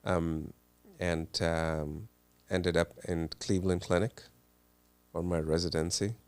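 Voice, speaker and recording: Very low gravelly man, halting speech. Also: fairly even intonation, a little mellow, dry recording. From Pakistan. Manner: hesitant, many ums and uhs. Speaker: cardiologist guest. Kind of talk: relaxed interview answers.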